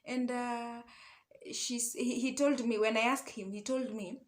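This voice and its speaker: Higher-voiced young Kenyan woman telling stories. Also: measured pace. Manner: animated, conversational, often checks in with short tag questions; mixes English and Swahili. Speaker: online storyteller and love coach. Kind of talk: casual personal storytelling.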